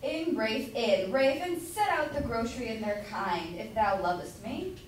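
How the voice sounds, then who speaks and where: A woman's voice: fairly high pitch, lively intonation, a little slow. A woman playing a bossy, meddling citizen grocer and proud husband in an amateur staged reading of an old comedy, blustering and emphatic. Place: indoor room at a college, far from mic. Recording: distant echoey room, faint noise.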